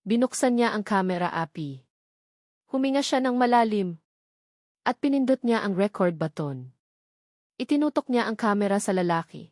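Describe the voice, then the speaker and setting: A woman with a Filipino accent reads a scripted love story as narrator, in a measured, emotive storytelling delivery. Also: mid-range voice, a little mellow, no room sound, studio quality.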